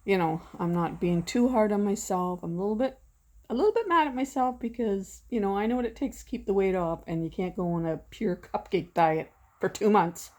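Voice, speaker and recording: A woman in her sixties chatting casually from behind a camera at home, rambling and self-deprecating, her voice going hoarse. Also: medium pitch, fairly quick, darkish tone. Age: 60s. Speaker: vlogger. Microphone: camera mic, speaking from behind the camera.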